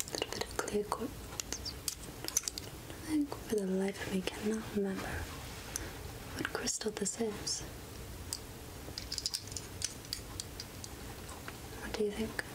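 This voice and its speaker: A woman playing a soothing, wry outcast witch healer in a soft, whispered ASMR roleplay, speaking gently with musing pauses. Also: medium pitch, lightly acted, low-quality recording.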